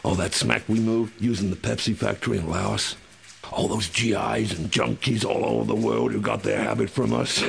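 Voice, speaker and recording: A man playing a cynical, boozy American CIA insider in a dramatic audio-comic monologue, sardonic, slangy and conspiratorial, with a gravelly voice. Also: fairly low pitch, little pitch movement, normal speed. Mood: gloating, cynical. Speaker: author reading his own work. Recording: voice over loud music.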